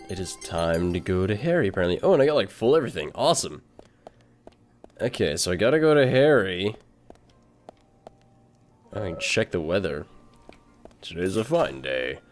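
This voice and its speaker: Male let's player, casual and wry: low, highly animated, muttered asides over background music. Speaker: gamer commentator. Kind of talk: casual let's play commentary.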